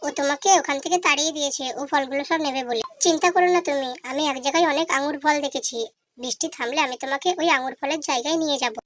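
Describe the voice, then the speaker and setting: Woman as a high-voiced, sincere cartoon butterfly. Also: racing, fairly bright tone. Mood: helpful. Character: helpful, grateful little butterfly. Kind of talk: children's cartoon voice acting.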